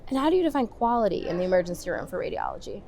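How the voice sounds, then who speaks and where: A woman's voice: mid-range voice, very animated, racing, gravelly, crowd noise. A woman reporter speaking in a formal, scripted news interview style. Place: conference venue.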